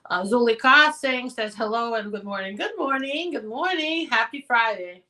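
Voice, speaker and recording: A woman immigration attorney hosting a live question-and-answer show, reading viewer questions and answering them directly while her voice is going hoarse. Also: fairly high pitch, sharp tone, distant mic, poor audio. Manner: direct and advising, with quick practical answers.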